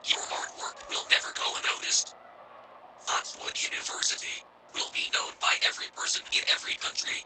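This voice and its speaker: A man voicing a whispering, many-mouthed cosmic entity that tempts a man with power in a slow, ominous voice. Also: sharp tone. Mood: tempting. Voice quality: breathy whisper.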